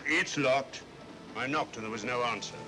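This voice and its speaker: An actor playing a skeptical, needling English police inspector with a British accent and a brusque manner in an old mystery film. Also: male voice, mid-range voice, normal speed, over music, natural voice. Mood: businesslike. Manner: brusque, challenging. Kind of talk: 1940s mystery film acting. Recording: noisy old film soundtrack.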